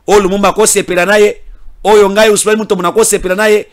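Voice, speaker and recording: A high-voiced man from DR Congo, speaking as a political commentator. His delivery is emphatic, with repeated words and phrases and loud declarations that give way to low, muttered asides. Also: little pitch movement, fast.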